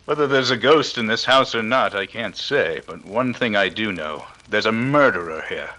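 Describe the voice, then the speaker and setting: A man playing a shrewd, authoritative detective in a 1930s-style radio mystery, speaking with deliberate, accusing authority. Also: on the low side, expressive, bright, forceful tone, rough recording. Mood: grave, deliberate. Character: shrewd, commanding male detective exposing a murderer.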